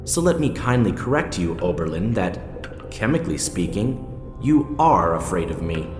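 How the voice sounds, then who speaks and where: An actor playing a coldly controlling male interrogator and torturer in an audio drama, speaking in a deliberate, condescending, threatening way. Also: low voice, sing-song. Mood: cold menace. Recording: echoey reverberant hall with music.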